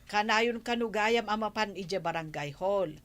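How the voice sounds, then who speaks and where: A woman's voice: medium pitch, normal speed, a bit bright, natural voice. A woman playing a cautious village housewife with an Ilocano accent, hesitant and conversational, in an audio drama. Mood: questioning. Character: village housewife, cautious, concerned about money.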